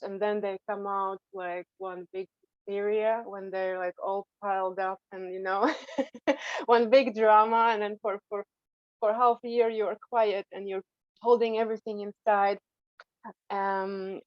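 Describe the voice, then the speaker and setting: A woman speaks in a casual podcast chat with a middling voice. She is reflective and halting, often restarting her sentences. The sound is dry and a bit muffled, like a remote call. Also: medium pitch, no room sound. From Latvia. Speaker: coach, podcast guest.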